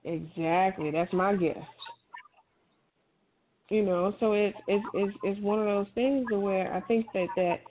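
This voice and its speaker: A woman's voice: mid-range voice, steady tone, unhurried, pauses often, phone-quality sound. Middle aged lady giving casual pep talk, emphatic and down to earth, sounds like a phone w/ background noise. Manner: emphatic, colloquial, encouraging. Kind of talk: casual conversational talk, advice.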